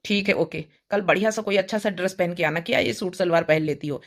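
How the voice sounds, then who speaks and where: A woman voicing a flattering, pushy young male schoolteacher in a cartoon comedy, coaxing his lover. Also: fairly low pitch, fast talker, close to an everyday voice. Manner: coaxing, smooth-talking.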